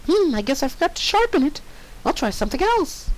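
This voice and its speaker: A man's voice: very high-pitched, sing-song, brisk, pitched above the performer's own voice, low-quality recording. A man voicing a chirpy, manic, cruel young female cartoon pony with a pouty, scolding sing-song delivery. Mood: gleefully cheerful. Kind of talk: cartoon fan fiction character reading.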